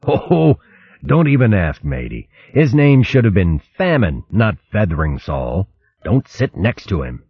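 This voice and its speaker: A cheeky, jolly mouse thief played by a man, breezy and jokey, slightly raised from a low husky base. He sounds dry and a little muffled. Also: big pitch swings, measured pace, no echo, heavily acted. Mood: jovial.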